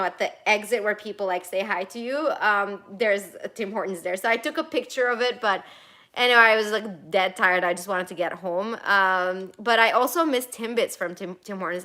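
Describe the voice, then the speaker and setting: A bright, clear-voiced young woman whose first language is Armenian, hosting a relaxed live Q&A from home. She chats casually, reads viewer comments aloud, and drifts from one question to the next. She often restarts her sentences and fills pauses with "um". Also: medium pitch. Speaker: travel vlogger answering live chat. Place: home in Toronto.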